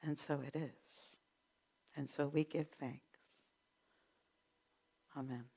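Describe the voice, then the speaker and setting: A woman leading a spoken prayer at a church service, calm and unhurried. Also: low voice, breathy edge. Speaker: prayer leader.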